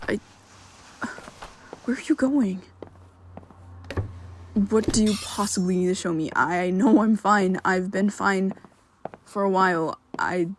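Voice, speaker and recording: Woman playing a young male vampire best friend, secretive, protective, slow and halting, half-whispered, ASMR roleplay. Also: mid-range voice, slight background noise. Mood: confused. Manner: hesitant, halting, often drops to a whisper.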